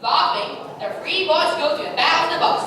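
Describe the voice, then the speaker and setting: A young woman reads a scripted story aloud to an audience. Her voice is high and sounds far from the mic. The room echoes heavily, with noise behind her. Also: expressive, normal speed. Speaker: student narrator in readers' theatre. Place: large echoey indoor hall. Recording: echoey hall, distant mic, clear noise.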